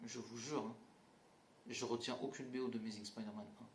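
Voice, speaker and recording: A man's voice: mid-range voice, steady tone, a little fast. Male reviewer, casual blunt delivery, mocking asides, roomy echo with faint background noise. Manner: blunt, mocking, many fillers and restarts. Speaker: movie reviewer. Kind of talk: casual spoken film critique.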